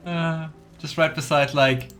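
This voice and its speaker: A man's voice: on the high side, steady tone, measured pace. A man hosting a video game let's play, commenting and reading game texts aloud over game music. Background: soft game music.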